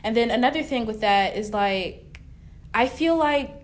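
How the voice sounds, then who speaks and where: A woman's voice: on the high side, lively intonation, measured pace. A young woman co-hosting a casual roundtable discussion, rambling and sometimes searching for words. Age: young adult. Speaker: staff writer and podcast co-host.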